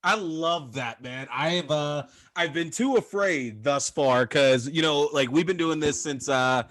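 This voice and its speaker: Man, thirties, podcast host, slightly high voice, dead-dry sound, loose and profane. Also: unhurried, a bit bright, no room sound. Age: 30s. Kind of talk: casual chat.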